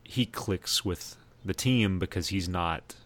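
A man with a very low voice talks as a podcast co-host. His delivery is casual and thoughtful, like someone working through an opinion with friends. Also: slow. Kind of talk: casual chat about TV shows.

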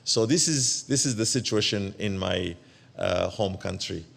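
Guy preaching, slow to get words out, lots of uh's, gravelly low voice. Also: fairly bright tone. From Ethiopia. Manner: hesitant, halting, many fillers and restarts. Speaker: guest preacher. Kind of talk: church sermon.

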